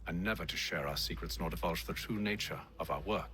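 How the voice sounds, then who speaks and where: An actor playing a commanding middle-aged leader of a secret order in a video game, speaking formally and solemnly. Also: male voice, low-pitched, fairly quick, a bit bright. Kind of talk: video game cutscene acting.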